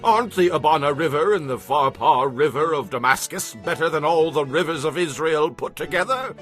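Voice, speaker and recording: An actor playing a proud, emphatic military commander in a dramatized audio Bible. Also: male voice, fairly high pitch, brisk, fairly bright tone, faint music behind, natural voice. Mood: indignant, haughty. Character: proud, indignant military commander turned earnest believer.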